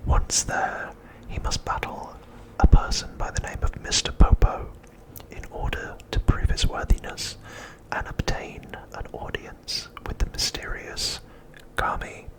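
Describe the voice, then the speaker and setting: A man narrates in a steady ASMR whisper, soft and unvoiced. His delivery is slow and deliberate, leaving pauses between phrases as he reads out summaries. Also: low-quality recording. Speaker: host of an ASMR channel.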